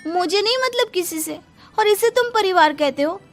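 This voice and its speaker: A woman's voice: very high voice, expressive, normal speed, soft background music. A woman playing a spoiled, stubborn young bride in a cartoon, petulant and forever complaining. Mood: defiant. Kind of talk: Hindi cartoon dialogue.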